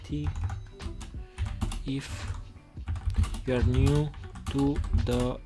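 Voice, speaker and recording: A man from Romania hosting a casual live coding tutorial, halting and repeating his words as he goes. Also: medium pitch, flat delivery. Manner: halting, repeats words while reading chat. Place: indoors at a computer desk. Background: music playing, keyboard typing.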